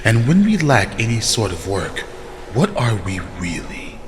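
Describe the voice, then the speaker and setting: A man's voice: on the low side, big pitch swings, measured pace. A man voicing a sardonic vampire clan elder who speaks in rhetorical, pointed fashion in a fantasy role-play drama. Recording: long hall reverb, clear background noise.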